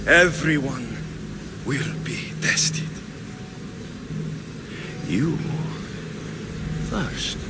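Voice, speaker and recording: A man's voice: medium pitch, expressive, extremely slow, long pauses, very noisy. A man voicing a commanding, menacing cult leader in a video game cutscene.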